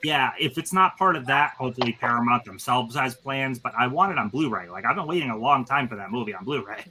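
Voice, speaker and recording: Male host, 30s, bright tone, eager rambling delivery. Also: medium pitch, lively intonation, fast. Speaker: stream host. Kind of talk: casual panel chat.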